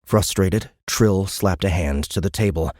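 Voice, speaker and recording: A man with a very low voice reads dramatic fiction as an audiobook narrator. The recording is dry and close. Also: unhurried, a little mellow, dry recording.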